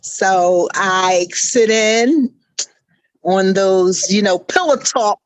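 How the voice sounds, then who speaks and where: A woman's voice: mid-range voice, extremely slow, dry recording, poor audio. A woman in her fifties chatting casually as a talk show co-host. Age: 50s. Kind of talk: casual chat, asking questions.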